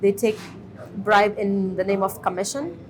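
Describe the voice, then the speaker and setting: A woman in her thirties from Afghanistan, giving a measured personal testimony with pauses and restarts. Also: mid-range voice, a little hiss or hum. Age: 30s. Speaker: interviewee.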